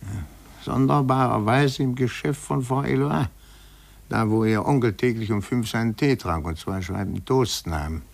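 Methodical male investigator, gravelly voice, medium pitch, cautious and deliberate, vintage radio drama. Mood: measured, reasoning. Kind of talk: 1950s German radio drama acting.

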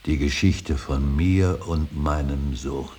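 An extremely deep-voiced older father broods over his grief in a solemn radio drama. He declaims very slowly and haltingly, weighing each phrase and often sinking into a breathy whisper. There is a slight hiss on the recording. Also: fairly even intonation, dark tone. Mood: fervent, exalted. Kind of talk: German radio drama acting, solemn monologue. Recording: hissy, faintly noisy radio recording.

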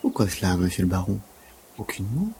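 A humble, frail gymnastics instructor played by a man speaks in a low, creaky voice. He is earnest and deferential, telling things in formal, long-winded phrases, as in a radio play. There is faint noise behind him. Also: big pitch swings, brisk, a little mellow. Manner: deferential, wordy, formal storytelling. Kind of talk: audio drama acting.

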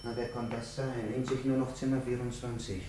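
An actor playing a servile, fussy hotel night porter with an Austrian accent in a radio drama. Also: male voice, medium pitch, flat delivery, fairly quick, natural voice. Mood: deferential. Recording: echoey room with clear noise.

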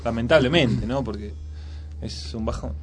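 A man's voice: medium pitch, moderate pace. A man with a Buenos Aires accent giving informal, opinionated news commentary as a radio co-host. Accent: Buenos Aires Argentine. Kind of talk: casual commentary on local news.

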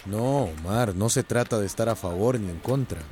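A man's voice: lowish voice, normal speed, warm tone, faint music behind, lightly acted. A man playing a wry, firm, plain-spoken villager in a radio soap. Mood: sceptical. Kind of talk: radio soap opera acting.

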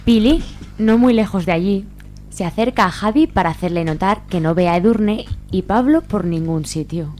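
A woman's voice: mid-range voice, moderate pace, a little mellow. A woman from reading scripted narration for a radio serial. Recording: faint hum and noise.

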